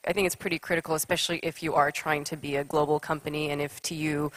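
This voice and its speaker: A woman answers on a conference panel in a low, even voice. She speaks plainly, pausing on ums as she builds her point. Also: poor audio. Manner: measured, matter-of-fact, frequent ums. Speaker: accelerator partner on a panel.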